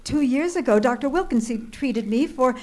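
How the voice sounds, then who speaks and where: Woman acting a high-voiced frantic bedridden wife. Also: lively intonation, darkish tone. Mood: anxious, demanding. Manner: agitated, halting, imperious. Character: frantic bedridden wife, nervous, demanding and alone. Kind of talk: 1940s radio suspense acting, performed live on stage.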